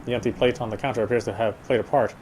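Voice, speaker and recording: A man's voice: medium pitch, fairly even intonation, racing, a little mellow, slight background noise, rough recording. A man reading a horror game's story aloud as dramatic narration, sometimes stumbling and rereading phrases. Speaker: game player reading story text aloud. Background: game music.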